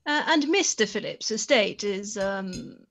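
A woman's voice: mid-range voice, lively intonation, unhurried, creaky, a bit bright, exaggerated voice. A woman playing a snobbish, probing society lady in a period radio play. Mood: probing, condescending. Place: room at home, on a video call.

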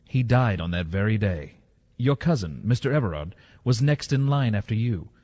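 Actor as deferential solicitor, low husky voice. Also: male voice, expressive, moderate pace, darkish tone. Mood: respectful, informative. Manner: formal, deferential. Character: deferential English family solicitor, polite and discreet. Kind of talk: audio drama acting. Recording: muffled, reverberant recording.

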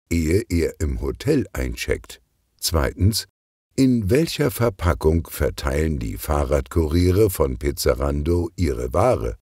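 A man narrating a scripted children's audiobook in an expressive, deliberate storytelling manner. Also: rumbling low, husky, no room sound, clean studio sound.

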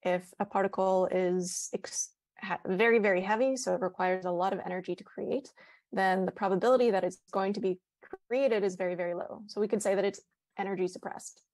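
A woman in her thirties giving a measured, precise academic explanation over a video call on an earphone mic. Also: medium pitch, steady tone, darkish tone, no echo. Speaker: panelist, physicist. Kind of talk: explanatory academic panel answer. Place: indoor room, joining by video call. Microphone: earphone headset on video call.

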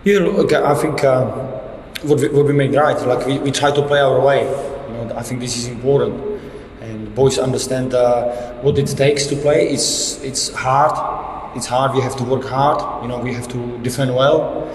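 A man's voice: mid-range voice, steady tone, unhurried, slight background noise. A man in his thirties, a football head coach, answering reporters at a post-match press conference in fairly plain with frequent restarts, into a table microphone. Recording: echoey room with reverb.

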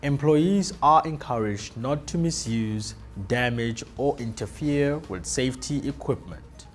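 A man presenting a scripted workplace safety induction, reading rules slowly and deliberately with emphatic listing. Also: mid-range voice. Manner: slow, deliberate, emphatic list delivery. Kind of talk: scripted corporate safety narration. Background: soft background music.

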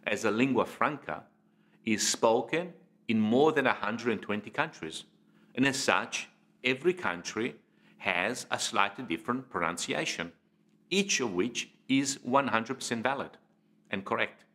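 Guy in his 50s teaching to camera, super lively pitch, deliberate pauses and punchy emphasis. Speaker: public speaking trainer. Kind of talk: instructional presentation to camera. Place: indoor studio.

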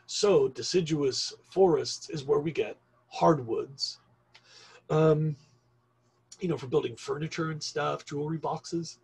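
A middle-aged man from Argentina teaching an online class over a webcam, explaining slowly and stopping often for ums. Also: on the high side, darkish tone, breathy edge, rough recording. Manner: slow, rambling explanation with frequent ums. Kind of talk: recorded online class lecture.

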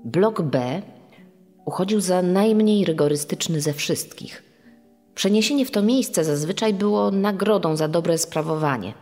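A woman's voice: lowish voice, unhurried, dark tone. A woman reading scripted documentary narration in a recording with long reverb. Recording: long reverb over background music.

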